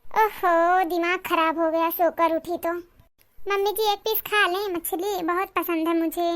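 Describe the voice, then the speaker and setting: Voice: very high voice, steady tone, measured pace, a bit bright. A performer voicing a spoiled young daughter-in-law in a cartoon, sweet-talking, cheeky and self-assured. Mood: languid entitled. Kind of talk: cartoon character dialogue.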